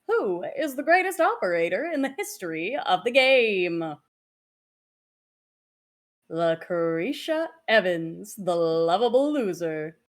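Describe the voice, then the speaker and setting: A woman narrator reading a scripted sports guide aloud as narration. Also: medium pitch.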